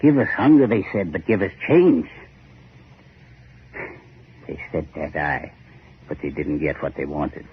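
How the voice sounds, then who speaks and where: Grim islander, low-pitched, emphatic, ominous, vintage radio horror drama, hissy recording. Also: male voice, big pitch swings, measured pace, pauses often, exaggerated voice. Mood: insistent, bitter. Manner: emphatic, ominous, repetitive storytelling. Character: grim old island man retelling a dark family history. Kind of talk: 1940s radio horror acting. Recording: scratchy, muffled 1940s radio recording.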